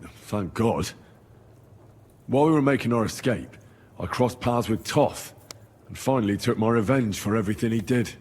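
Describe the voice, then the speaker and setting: Young medieval squire for a game drama: earnest, plain-spoken, slightly low and rough-edged, in a reverberant space. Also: male voice, animated, normal speed, pauses often, a little mellow. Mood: sober. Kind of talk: dramatic video game dialogue.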